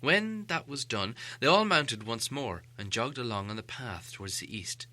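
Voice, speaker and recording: A man narrating an audiobook tale in an expressive, scripted storytelling style. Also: mid-range voice, normal speed.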